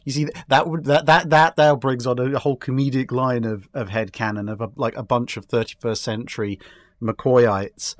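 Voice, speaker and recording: A man's voice: medium pitch, steady tone, normal speed, no echo. A man chatting casually as a guest on a fan discussion show, building long, jokey speculative explanations with stumbling starts.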